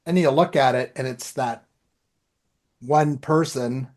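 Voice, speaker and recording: A man's voice: medium pitch, slow, a little mellow. A man chatting as a podcast host in an informal, loosely anecdotal, conversational way. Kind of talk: casual conversational chat.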